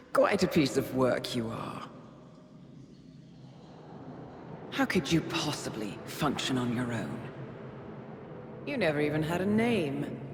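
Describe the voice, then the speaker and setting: An actress playing a poised, calculating woman handler in a game cutscene, speaking in a measured, quietly persuasive way. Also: deep voice, big pitch swings, background noise, full character voice. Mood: taunting. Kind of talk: video game cutscene acting.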